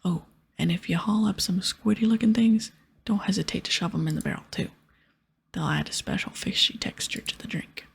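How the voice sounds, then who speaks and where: Woman as a gruff pirate captain, hushed breathy whisper, creaky, bossy game dialogue. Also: mid-range voice, a little fast. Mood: bossy, explaining. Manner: piratical dialect, explaining and ordering.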